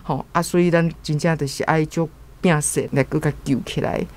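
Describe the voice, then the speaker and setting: A woman's voice: low-pitched, fairly even intonation, moderate pace, darkish tone. A woman with a Taiwanese accent speaking earnestly and informally as a guest commentator.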